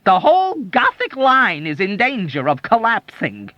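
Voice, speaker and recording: This is a high, whiny army doctor who pities himself endlessly, voiced by a male audiobook reader. His complaints lurch all over the pitch range in a plaintive, wailing tone. The recording is dry and a little muffled. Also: wildly swooping pitch, slow, no room sound, heavily acted. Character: whining self-pitying male army doctor.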